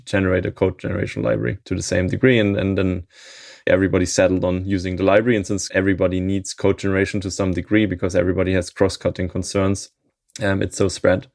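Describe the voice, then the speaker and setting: A man in his thirties, a software maintainer being interviewed, talking in a thoughtful way and chuckling often mid-sentence. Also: low-pitched, gravelly, no echo, clean studio sound. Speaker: open source maintainer, interview guest. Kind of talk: casual interview chat.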